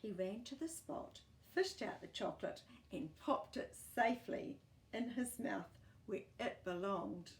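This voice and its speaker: A woman reading a picture book aloud to young children in an animated, encouraging way, with chatty asides to the listener. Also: medium pitch, a little slow, echoey room.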